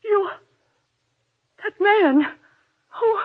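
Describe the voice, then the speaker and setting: Casting: terrified clinging wife, very high tremulous voice, pleading, vintage radio horror, dull lo-fi sound. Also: female voice, very slow, full character voice. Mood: frightened, pleading. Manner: pleading, tremulous, breathless questioning. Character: frightened, clinging wife trapped in dark tunnels. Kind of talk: 1940s radio horror acting. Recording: dull, lo-fi old radio recording.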